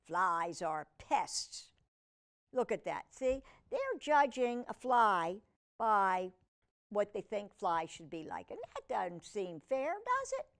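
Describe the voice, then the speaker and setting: A woman's voice: medium pitch, very animated, slow pace. A woman hosting a children's storytime, reading a picture book aloud expressively and adding chatty asides and questions to her young viewers.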